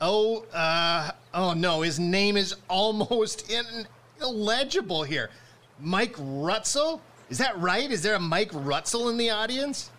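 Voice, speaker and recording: An actor playing an earnest, easily flustered small-town fishing tournament reporter in a radio play. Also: male voice, high-pitched, animated, takes it slowly, sharp tone, exaggerated voice. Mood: flustered, hesitant. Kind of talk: radio play acting as live sports broadcast announcing.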